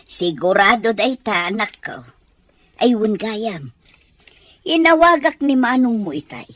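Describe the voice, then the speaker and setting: A woman's voice: a little high, lively intonation, slow pace, a bit bright, exaggerated voice. A woman acting a caring, worried older mother and grandmother in an audio drama. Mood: concerned.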